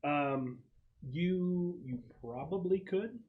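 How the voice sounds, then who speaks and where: A man's voice: sits high, takes it slowly, narrow, tinny audio. A man acting as game master, narrating a tabletop roleplaying game in a conversational, explanatory way and thinking aloud as he goes.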